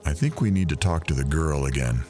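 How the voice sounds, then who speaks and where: A man's voice: a bass voice, expressive, fairly quick, mellow, soft background music. An actor playing a furtive man who snoops on people, talking conversationally in an audio drama. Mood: calm, calculating. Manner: conversational, thinking aloud.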